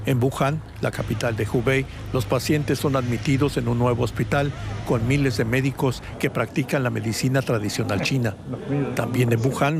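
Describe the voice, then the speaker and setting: A man narrating a news report in a steady, informative tone. City traffic and vehicle noise are clearly audible behind his voice. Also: mid-range voice.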